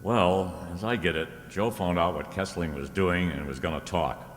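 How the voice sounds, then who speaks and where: A man's voice: low-pitched, moderate pace, natural voice. A man acting a wry, tough private detective in an old-time radio drama, with a sardonic, wisecracking delivery. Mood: explaining. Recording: hall-like reverberant radio play recording.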